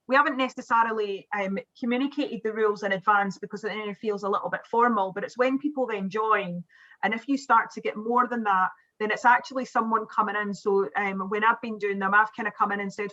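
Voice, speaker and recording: A woman's voice: medium pitch, pushed and bright, highs cut off. A woman presenting on an online webinar, chatting casually with fillers and restarts over a video call. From Scotland.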